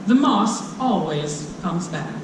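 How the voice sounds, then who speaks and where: A storyteller performing a rhyming children's book live, playful and dramatic, with drawn-out lines and asides. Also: mid-range voice, expressive. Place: live performance room at a convention. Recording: roomy, distant live hall recording with noise.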